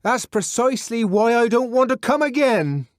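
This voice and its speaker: A man voicing an exasperated young man who shouts flat refusals through a door with derisive ferocity. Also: very high voice, normal speed, no room sound, studio quality, voice pushed up. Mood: furious shout.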